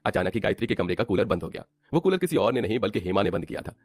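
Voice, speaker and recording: A man's voice: fairly low pitch, sing-song, racing, slightly rough, no echo. A man narrating a scripted cartoon family story as the storyteller.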